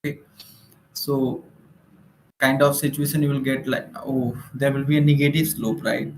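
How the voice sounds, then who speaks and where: A man's voice: mid-range voice, level tone, normal speed, darkish tone, a little hiss or hum. A man with an Indian accent teaching maths in an informal online lecture, explaining step by step and often checking in with short tag questions. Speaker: maths teacher for exam preparation. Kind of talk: informal online lecture, working through problems. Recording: roomy, echoing room sound.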